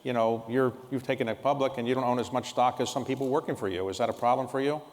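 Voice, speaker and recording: A man's voice: medium pitch, fairly even intonation, fast talker, darkish tone. A man hosting an on-stage interview, asking direct, probing questions. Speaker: interview host. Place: large event hall on stage. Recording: long hall reverb.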